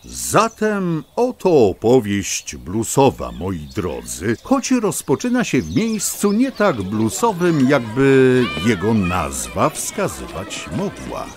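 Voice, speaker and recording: Very animated, slow-paced male storyteller. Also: mid-range voice. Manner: theatrical, whimsical, mock-lofty. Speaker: storyteller narrator. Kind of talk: scripted children's story narration.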